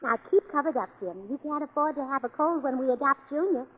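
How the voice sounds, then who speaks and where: An actress playing a cheerful, scatterbrained young wife with a bubbly, illogical manner in a 1940s radio comedy. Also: female voice, sits high, quick pace, natural voice. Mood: chirpy. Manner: bubbly, chirpy, deadpan about her own nonsense. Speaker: comic lead. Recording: slightly dull old radio recording.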